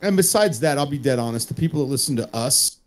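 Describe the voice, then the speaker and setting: A man's voice: medium pitch, lively intonation, fast. A man hosting an online show with casual, joking, rambling, self-deprecating chat. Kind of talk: casual chat between broadcast segments.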